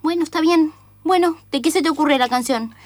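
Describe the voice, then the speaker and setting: A bored little girl with a high voice, played by a woman. She is blunt and dismissive, with a Rioplatense Argentine accent, in a radio comedy. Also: brisk, no echo, close to an everyday voice. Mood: curious. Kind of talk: comedic radio play acting.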